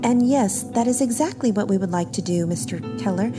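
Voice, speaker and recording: A woman's voice: mid-range voice, animated, brisk, over music, close to an everyday voice. An actress playing a determined woman who speaks earnestly and indignantly about adopting a girl.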